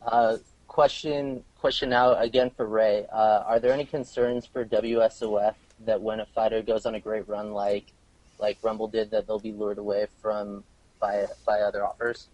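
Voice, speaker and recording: A man's voice: lowish voice, flat delivery, moderate pace, a little mellow, no echo, low-quality recording. A man working as a reporter, politely putting questions to guests on a press conference call.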